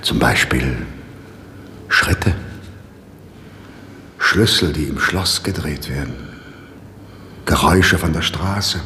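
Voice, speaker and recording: An actor playing a gentle, devout priest in prison, pensive and deliberate, in 1960s German radio drama style. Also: male voice, a bass voice, animated, full character voice. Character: imprisoned devout priest, gentle, brooding, awaiting execution. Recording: reverberant, noisy old radio recording.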